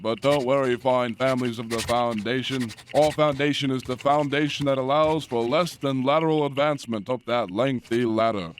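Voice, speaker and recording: Man playing solemn mid-pitched parody newscaster. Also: fairly even intonation, slight background noise, close to an everyday voice. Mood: smoothly reassuring. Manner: measured, declamatory, mock-serious. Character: solemn male newscaster reporting for the establishment. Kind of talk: parody news reading in audio play.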